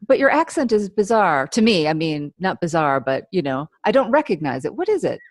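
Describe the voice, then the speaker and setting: A woman hosting a podcast, reading a guest introduction steadily and then asking casual, lively questions. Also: on the low side, no room sound, studio quality. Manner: measured reading, then laughing, animated questions. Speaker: podcast host. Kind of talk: read introduction, then casual interview chat.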